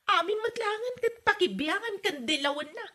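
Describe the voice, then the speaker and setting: A woman's voice: extremely high pitch, lively intonation, fairly quick, bright, forceful tone, full character voice. A woman with an Ilocano accent playing an exasperated, complaining young wife in a comedy drama. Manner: complaining, emphatic. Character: exasperated young wife fed up with meddling mother-in-law.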